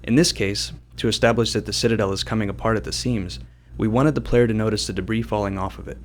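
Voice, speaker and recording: A man's voice: fairly low pitch, steady tone, quick pace, slight background noise. A man giving scripted developer commentary on how a video game was designed.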